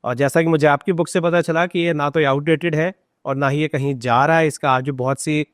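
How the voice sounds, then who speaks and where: A man's voice: fairly high pitch, steady tone, fairly quick, no echo, clean studio sound. A man with an Indian accent hosting a podcast, speaking in a conversational interviewing style. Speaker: podcast host.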